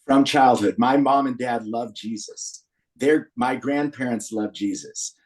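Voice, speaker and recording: A man's voice: mid-range voice, a little mellow. American man in his sixties, telling stories. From Berkeley, California, USA. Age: 60s. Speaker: podcast guest, founder of a men's community group. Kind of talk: personal storytelling in a podcast interview.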